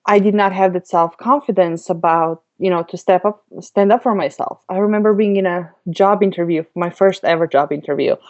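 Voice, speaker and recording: Brief: woman in her thirties, podcast guest, lower voice, muffled lo-fi sound, chatty with lots of ums. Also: brisk, hardly any pauses. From Slovenia. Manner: chatty, laughs easily, many "um"s. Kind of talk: podcast interview. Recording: dull lo-fi audio.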